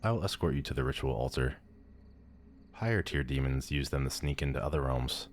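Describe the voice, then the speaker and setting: A man's voice: very deep voice, a little fast, slight background noise. A man playing a powerful demon lord in an ASMR audio roleplay, pompous, condescending and theatrical. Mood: lofty, instructive. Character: haughty, powerful male demon lord, bored and amused.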